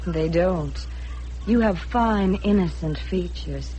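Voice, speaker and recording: A woman's voice: lowish voice, slow pace, mellow, lo-fi sound. An actress playing a poised, candid young rich woman in a radio drama, speaking directly with cool composure. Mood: coolly teasing. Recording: noisy vintage radio drama recording.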